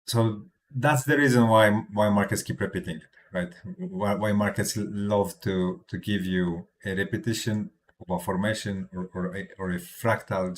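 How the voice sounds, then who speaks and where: A man with a low, deep voice talks through charts for a live audience in English that is not his first language. His delivery stumbles and restarts often, tagged with "right?", and loosened by small chuckles. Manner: halting, with frequent restarts and tag "right?"; chuckles between points. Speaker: crypto trading streamer. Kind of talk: casual livestream chart commentary.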